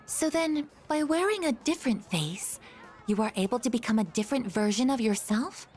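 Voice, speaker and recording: A woman's voice: on the high side, lively intonation, normal speed, darkish tone, faint music behind, lightly acted. A woman voicing a polite, literal-minded young female android in a video game, speaking in formal, precise, stilted sentences. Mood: earnest, curious.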